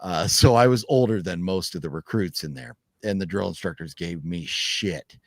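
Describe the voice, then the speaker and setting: A man's voice: deep voice, normal speed, no room sound. Rambling, cussing man in his fifties. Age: 50s. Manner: rambling, profane and laughing. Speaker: podcast host. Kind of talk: casual livestream chat reading viewer comments.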